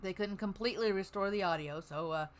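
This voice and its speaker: A woman hosts a livestream devoted to old-time radio. Her delivery is casual and conversational, shifting at times into read-out introductions, at a middling pitch. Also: fairly quick. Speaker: host of an old-time radio listening stream. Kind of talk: casual livestream chat, partly reading show notes.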